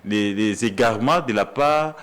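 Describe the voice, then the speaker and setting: A man's voice: fairly low pitch, moderate pace, a bit bright. A man with a Congolese accent, a journalist arguing emphatically as a debate guest, often repeating his phrases for weight. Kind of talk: animated political debate.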